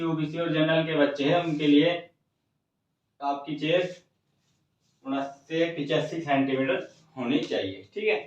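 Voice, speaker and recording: A man explaining exam updates to students in an informal teacherly way, often checking in with short phrases. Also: a little high. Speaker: exam coaching presenter. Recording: muffled, echoey room, distant microphone.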